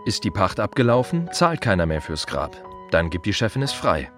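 An actor playing a plain-spoken, wry gravedigger in a radio play monologue, confiding in short halting phrases with dry irony. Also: male voice, on the low side, very animated, music in the background. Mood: grim, dry.

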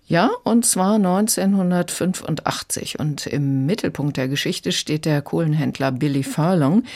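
A woman's voice: sits low, a little mellow. A woman working as a book critic, giving a prepared review in a radio conversation.

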